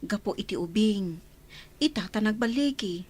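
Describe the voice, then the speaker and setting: An actress playing a housemaid's concerned, excitable friend in a radio drama, full of questions, with an Ilocano accent. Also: female voice, medium pitch, moderate pace, husky. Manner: exclamatory, questioning.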